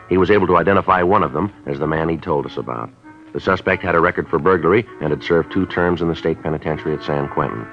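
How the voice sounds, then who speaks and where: A man playing a police sergeant in a 1950s radio drama, narrating and questioning in a clipped, matter-of-fact way, on a dull old radio recording with faint music. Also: very deep voice. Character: terse male police sergeant narrating, middle-aged. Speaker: detective narrator.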